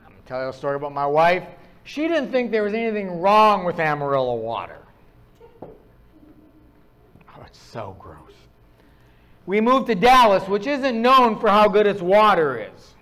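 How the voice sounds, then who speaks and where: Middle-aged pastor, northern US accent, high tenor, wry self-mocking storyteller. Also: male voice, big pitch swings, measured pace, pauses often, a bit bright. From Upper Midwest of the US. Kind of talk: sermon.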